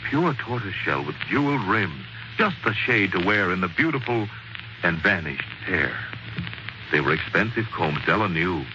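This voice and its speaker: A man narrating as a 1940s radio storyteller, in a dramatic, measured, scripted style. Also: lowish voice, background noise. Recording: muffled 1940s radio recording. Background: prominent music.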